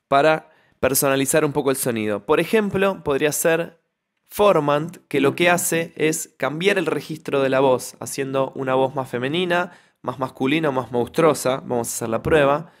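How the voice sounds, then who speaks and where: A young man explaining music software in a tutorial, close on the mic in a home studio. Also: mid-range voice, normal speed. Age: young adult. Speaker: music production tutorial host. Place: small home studio with foam panels. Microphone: close studio microphone on a stand, with headphones.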